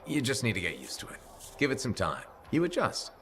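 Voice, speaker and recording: An actor playing an easygoing, dryly wry freelancer pilot in casual video game dialogue. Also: male voice, medium pitch, wildly swooping pitch, brisk, fairly bright tone, slight background noise. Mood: friendly, reassuring.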